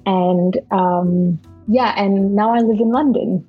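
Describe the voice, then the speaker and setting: A woman's voice: medium pitch, steady tone, takes it slowly, husky, highs cut off, soft background music. A young woman in her twenties from Indonesia with an Indonesian accent tinged with Malaysian, chatting casually as a podcast guest with many fillers and restarts. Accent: Indonesian with a bit of Malaysian. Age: 20s. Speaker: podcast guest, university student. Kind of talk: casual interview chat.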